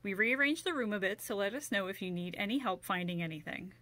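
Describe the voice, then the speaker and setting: An American woman in her thirties presenting and reading as a children's librarian, expressive and clearly enunciated, with dramatic pauses. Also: medium pitch. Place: indoor library room.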